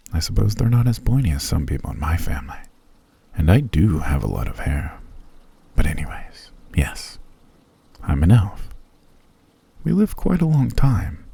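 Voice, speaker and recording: A man voicing a gracious elf who has lived for centuries, speaking in an ASMR roleplay. His voice is very deep, mellow and husky, and it sits dry and close to the mic. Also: no room sound, heavily acted. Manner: gracious, formal and softly spoken. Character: ancient male elf host, courteous and genteel.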